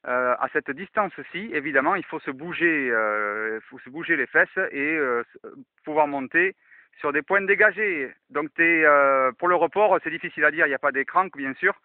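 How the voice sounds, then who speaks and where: A man's voice: fairly high pitch, expressive, moderate pace, dry recording. A man casually presenting a radio demonstration as an enthusiast, mixing explanations to viewers with radio call-outs. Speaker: amateur radio enthusiast and video host. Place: outdoors in open countryside. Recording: thin, phone-like band-limited sound.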